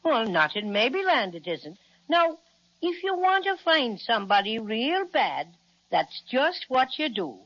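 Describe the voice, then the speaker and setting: A woman's voice: on the high side, sing-song, a little slow, a bit bright, full character voice. A woman playing a small, proud cinnamon bear, earnest and quaint, in an old-time children's radio serial. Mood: proud, insistent. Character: small proud cinnamon bear, boastful yet helpful guide. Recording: muffled, lo-fi old radio recording.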